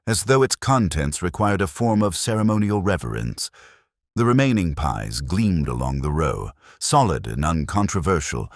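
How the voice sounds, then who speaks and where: A man narrating a literary mystery story in a measured, formal way. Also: rumbling low, no room sound, studio quality.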